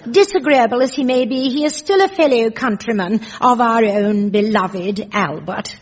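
A woman's voice: fairly high pitch, normal speed, slightly rough, muffled recording. An actress playing a prim, stately older queen who speaks formally in the royal we, in a comedy sketch.

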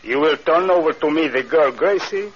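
An actor playing a swaggering Spanish pirate in an old radio drama, speaking broken English with a Spanish accent and a husky voice. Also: male voice, fairly high pitch, lively intonation, normal speed. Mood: threatening. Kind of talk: 1940s radio acting. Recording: muffled old radio recording.

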